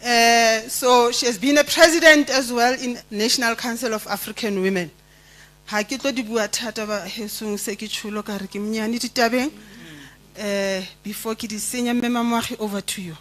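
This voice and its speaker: Measured woman introducing speakers. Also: on the high side, steady tone, a bit bright. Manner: measured, formal introductions mixing English and Sesotho. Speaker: programme director. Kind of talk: memorial service proceedings. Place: memorial service venue.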